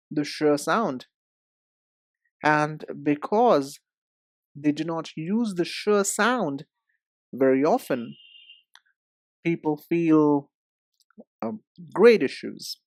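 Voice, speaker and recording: A man with an Indian accent giving an instructional English pronunciation lesson, speaking in a deliberate, carefully enunciated way. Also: a little high, big pitch swings, dry recording. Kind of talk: instructional lesson with repeat-after-me drills.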